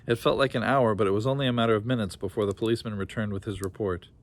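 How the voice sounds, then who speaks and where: A man's voice: lowish voice, fairly even intonation, racing. Man reading a story aloud expressively.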